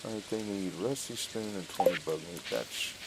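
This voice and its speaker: A man giving casual let's-play commentary, thinking aloud in short, low-key remarks. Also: fairly quick. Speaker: gaming streamer. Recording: loud noise with rain-like clicking.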